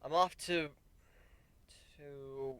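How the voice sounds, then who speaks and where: A man voicing an awkward, hesitant male pegasus pony with a sheepish, halting way of talking in a fan fiction reading. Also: a little high, fairly even intonation, a bit bright, clean tone, no room sound. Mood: sheepish, flustered.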